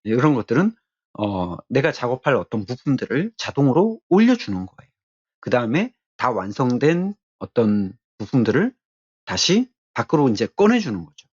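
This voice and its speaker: A man giving an explanatory technical lecture as an instructor, speaking haltingly and repeating his key phrases. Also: mid-range voice, very animated, darkish tone, slightly rough, no echo, highs cut off.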